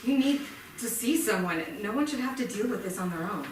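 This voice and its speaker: A woman's voice: medium pitch, lively intonation, a little fast, close to an everyday voice. A woman playing a worried, insistent partner in a staged play reading. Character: worried, insistent woman urging her partner to get help. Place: small theatre, staged reading. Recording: noisy, very echoey theatre from far off.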